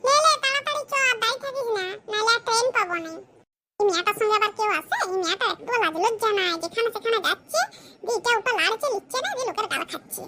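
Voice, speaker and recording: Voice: very high voice, racing, bright, forceful tone, full character voice. An actor voicing a cheeky, bickering young villager in a comedy cartoon. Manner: teasing, scolding, bickering. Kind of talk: comic cartoon dialogue in village dialect.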